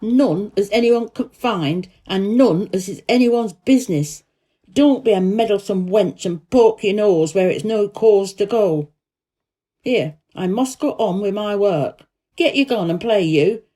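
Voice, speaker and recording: A woman's voice: medium pitch, unhurried, warm tone, lightly acted. Woman acting a surly old Yorkshire gardener man. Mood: surly, scolding. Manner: blunt, gruff dialect speech.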